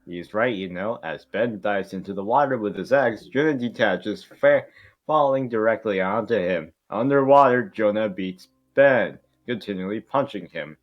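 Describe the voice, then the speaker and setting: A man's voice: fairly low pitch, moderate pace, muffled recording. A man reading stage directions at an amateur table read in a casual, irreverent, joking way with swearing ad-libs. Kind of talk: casual, joking script narration.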